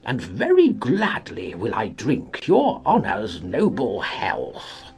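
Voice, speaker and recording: A humble old man from a storybook ballad. His voice is very husky and full of creak, and he delivers his verse eagerly in a slow singsong with big swoops of pitch. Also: huge pitch swings, a little mellow, full character voice. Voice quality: gravelly, husky.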